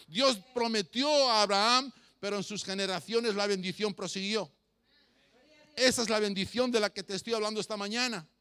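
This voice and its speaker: A man's voice: high voice, sharp tone, clean tone. A man preaching a sermon as a pastor, emphatic and repeating phrases for effect. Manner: rhetorical questions addressed to listeners.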